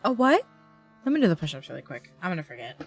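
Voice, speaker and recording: A woman's voice: lowish voice, very animated, fast. A woman streaming a game, giving casual live reactions in short remarks. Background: game music.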